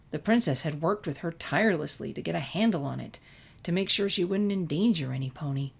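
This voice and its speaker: A woman's voice: on the low side, creaky, darkish tone, breathy edge. A woman narrator reading a scripted fan-fiction story expressively.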